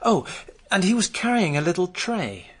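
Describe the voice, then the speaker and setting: A man's voice: high voice, very animated, moderate pace, a bit bright, breathy edge, exaggerated voice. An actor playing a vague, easygoing British village drunk in a radio drama, rambling hesitantly as he recalls things. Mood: hesitant, puzzled.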